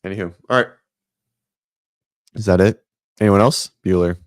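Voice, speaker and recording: Male host, deep and raspy, loose rambling delivery full of fillers, dry home-office mic. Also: moderate pace, no room sound. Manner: rambling, many ums and restarts. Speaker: host of a fitness livestream show. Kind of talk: casual livestream chat. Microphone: set-up studio mic.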